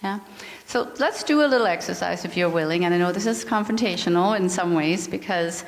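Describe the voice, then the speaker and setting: A calm, earnest middle-aged woman with a Danish accent, whose voice sits a little low. She gives a reflective spiritual talk, now and then repeating a word as she searches for the next one. The sound carries a long reverb from the meeting hall. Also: fairly quick. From Denmark. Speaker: yoga and mindfulness teacher.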